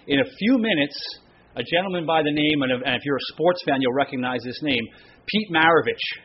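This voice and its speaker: A middle-aged man with a loud, somewhat high voice preaches from a church pulpit microphone, chatting through joking anecdotes before slowing down for scripture. The recording sounds a little dull and carries a faint hum of the room behind him. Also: lively intonation. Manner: chatty, self-deprecating storyteller, slows down for scripture reading. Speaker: pastor. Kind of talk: sermon with casual anecdotes. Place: church sanctuary. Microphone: stand microphone, stays close.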